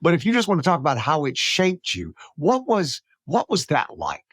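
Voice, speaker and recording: Man, from Alabama, podcast host, chatty, restarts sentences, animated delivery, dry close recording. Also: fairly high pitch, normal speed, breathy edge, dry recording. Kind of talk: podcast interview.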